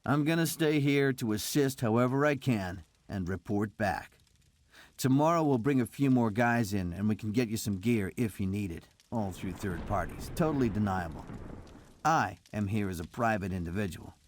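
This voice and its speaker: An actor playing an easygoing American covert agent who talks in relaxed, joking banter. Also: male voice, fairly low pitch, moderate pace, dry recording, natural voice. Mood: friendly.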